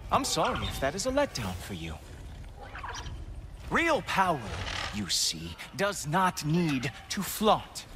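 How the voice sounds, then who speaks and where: An actor playing an arrogant god in a video game, mocking with drawn-out sarcasm. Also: male voice, fairly high pitch, wildly swooping pitch, background noise, heavily acted. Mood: condescending. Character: arrogant, sneering male god, watchman of the gods. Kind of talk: video game character acting. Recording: loud game music behind.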